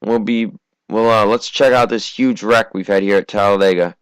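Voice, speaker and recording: A teenage American man from Massachusetts giving casual, conversational amateur racing commentary, his voice slightly hoarse from a cough. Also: fairly low pitch, fairly even intonation, moderate pace, no echo. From Massachusetts, United States. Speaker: race commentator.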